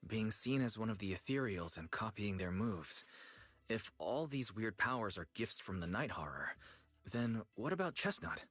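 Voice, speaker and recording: An actor playing a calm, curious, supportive young friend in a video game, gently asking questions in a husky voice. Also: male voice, on the low side, moderate pace, no echo, soft background music. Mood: thoughtful, supportive. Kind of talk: video game story dialogue acting.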